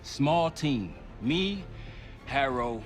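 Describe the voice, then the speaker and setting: An actor playing a cool-headed, defiant special-operations agent in a video game, speaking in a terse, controlled way. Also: male voice, medium pitch, animated, extremely slow, over loud music. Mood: focused. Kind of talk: cinematic video game acting. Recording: loud score and vehicle noise.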